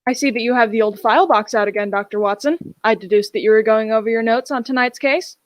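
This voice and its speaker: A young woman announces an old-time style radio show from a script. Her voice sits on the high side and the sound is very dry, without room echo. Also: fast.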